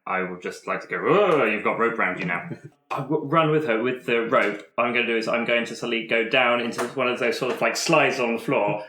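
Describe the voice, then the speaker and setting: A man's voice: medium pitch, fairly quick, sharp tone, slight background noise. A man playing a tabletop game, improvising a description of his character's actions, recorded in a roomy space.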